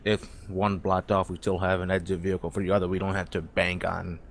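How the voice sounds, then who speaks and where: A man's voice: low-pitched, level tone, brisk, a little hiss or hum. A man casually talking tactics as a player in an online role-play game on voice chat.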